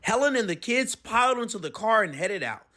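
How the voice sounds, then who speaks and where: A man reading a children's storybook aloud with expressive narration. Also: high-pitched, brisk. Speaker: storybook reader.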